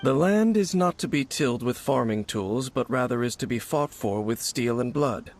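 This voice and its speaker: A man voicing a solemn, formal knight in a video game, speaking in a measured, grave way. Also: mid-range voice, lively intonation, a little hiss or hum, lightly acted. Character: solemn male knight, grave keeper of ancient secrets.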